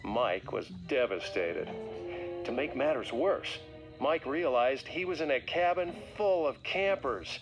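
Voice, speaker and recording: A man narrating a scripted read-along story for children in a dramatic, expressive way. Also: medium pitch, unhurried. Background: music.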